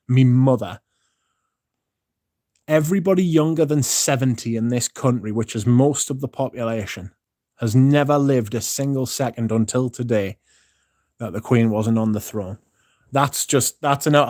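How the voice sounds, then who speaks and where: A man's voice: mid-range voice, darkish tone. A man talks into his phone on a casual livestream, sounding tired. He rambles, swears freely and often starts sentences over. The recording is dry and close, with no room echo. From Britain. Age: 30s. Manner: rambling, sweary, frequent restarts. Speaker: streamer.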